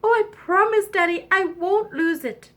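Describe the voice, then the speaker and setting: Woman as a high-pitched, whiny little princess. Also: a little slow, fairly bright tone. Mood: delighted, eager. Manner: whiny, dramatic exclamations. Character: spoiled, squeamish, emotional young princess girl.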